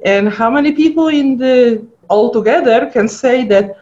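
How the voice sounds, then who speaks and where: A woman's voice: on the high side, moderate pace, warm tone. Polish-accented woman telling stories. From Poland. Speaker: guest pathologist. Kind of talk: casual interview chat. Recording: dull lo-fi audio.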